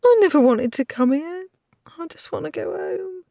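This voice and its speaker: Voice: very high voice, normal speed, creaky, dark tone, dry recording, clean studio sound, voice pushed up. A narrator putting on a tearful frightened young girl. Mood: pleading, tearful. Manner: pleading, sobbing. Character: high-pitched frightened young woman.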